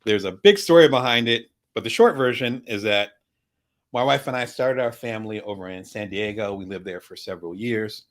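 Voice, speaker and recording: A middle-aged man hosting a casual vlog, chatty and animated, full of asides and shout-outs to his viewers. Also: fairly low pitch, normal speed. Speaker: vlog host. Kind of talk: casual talk to camera about products.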